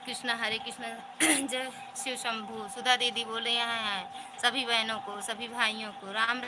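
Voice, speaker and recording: A woman's voice: fairly high pitch, steady tone, a little slow, noisy background. A woman with a rural north Indian Hindi accent hosting a live stream, reading out viewers' comments and thanking them one by one in a casual, repetitive way. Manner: warm, repetitive greetings and thanks.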